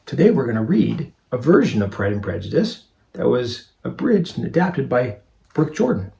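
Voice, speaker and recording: Animated, gravelly male storytime reader. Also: medium pitch. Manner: expressive, addresses young listeners directly. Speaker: picture book reader and host. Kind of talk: read-aloud storytime for young children.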